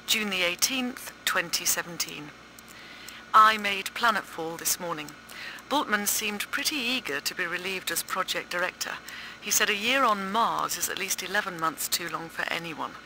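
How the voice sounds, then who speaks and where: A brisk woman directing a Mars project, filing an official report in a sci-fi game. She sounds very bright and forceful, businesslike with dry wit. Faint noise runs underneath. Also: fairly low pitch. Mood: businesslike, wry. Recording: faintly noisy audio-log recording.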